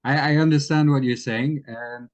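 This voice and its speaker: A man giving careful, measured explanations of current affairs as a guest in an interview. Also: medium pitch, fairly even intonation, muffled recording.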